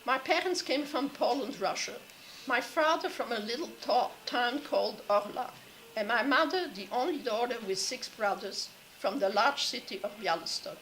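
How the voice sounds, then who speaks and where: An older woman from Belgium, reading her prepared memoir to students in a measured way and often clearing her throat. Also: on the high side, lively intonation, fairly bright tone, slight background noise, rough recording. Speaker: Holocaust survivor speaker. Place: classroom.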